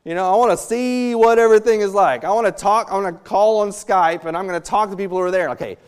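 Man, high voice, missionary preacher, sermon, animated, jokey. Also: quick pace, smooth. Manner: animated, acts out quotes with humor.

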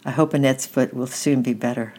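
A woman's voice: very deep voice, steady tone, fairly quick, mellow. Woman reading as a polite young boy. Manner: simple and polite. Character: polite young boy taking his leave.

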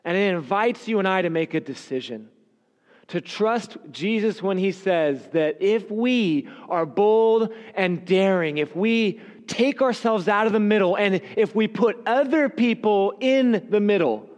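A man preaching a sermon, his voice raspy and hoarse because he is losing it, speaking in an earnest, emphatic cadence. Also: high-pitched, animated, unhurried. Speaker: pastor. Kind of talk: sermon with scripture reading and prayer. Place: church sanctuary. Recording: long hall reverb.

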